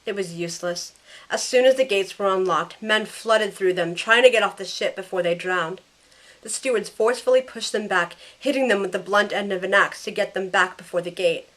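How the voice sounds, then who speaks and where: A woman's voice: medium pitch, moderate pace, a bit bright, natural voice. A woman playing a caring young heroine who narrates her own ordeal in a scripted first-person audio drama. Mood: anxious narration.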